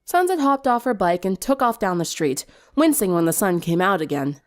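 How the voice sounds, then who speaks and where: A woman's voice: medium pitch, very animated, moderate pace. A woman reading fan fiction aloud as dramatic story narration.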